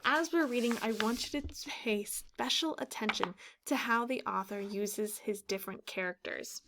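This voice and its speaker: A woman in her thirties, a teacher explaining a children's story with deliberate enunciation. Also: on the high side, noisy background. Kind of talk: instructional children's read-aloud. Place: small room indoors, classroom or office decor.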